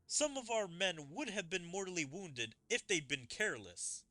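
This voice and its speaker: Man doing an indignant, high-voiced fantasy soldier. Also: lively intonation, moderate pace, bright, forceful tone, faint music behind. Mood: urgent. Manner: urgent, formal, indignant. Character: indignant, loyal fantasy soldier reporting to his king. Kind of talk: reading game dialogue aloud in character.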